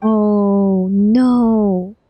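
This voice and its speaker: A woman's voice: medium pitch, fairly even intonation, very slow, dry recording, lo-fi sound, soft background music. An actress playing a blunt, drawling female onlooker in a video game story. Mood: dismayed.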